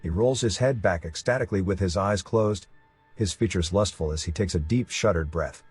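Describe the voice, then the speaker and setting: A man's voice: deep voice, normal speed, husky. A man reading scripted, dramatic narration for a suspense story. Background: music.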